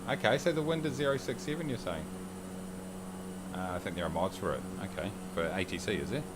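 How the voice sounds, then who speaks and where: Deep-voiced New man chatting on stream. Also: fairly quick, very noisy. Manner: chatty and joking, reads viewer comments aloud. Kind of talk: casual livestream chat while flying a sim.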